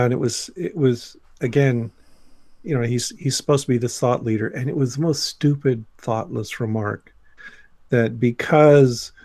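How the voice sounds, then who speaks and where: A man's voice: mid-range voice, darkish tone, no room sound. A man speaking as a guest in a casual discussion. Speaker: podcast guest.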